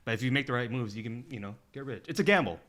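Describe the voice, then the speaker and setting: A man's voice: medium pitch, animated, racing, fairly bright tone. A man co-hosting a podcast in casual, slangy, profane talk, argumentative and constantly peppered with "like" and "you know.". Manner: repeats 'like' and 'you know' constantly. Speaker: podcast co-host. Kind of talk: casual group chat.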